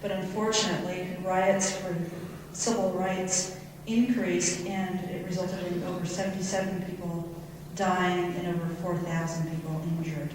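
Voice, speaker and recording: A woman reading a prepared speech carefully and slowly to an audience, heard from a distant mic in an echoey hall. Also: on the low side, level tone, background noise. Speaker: student presenter.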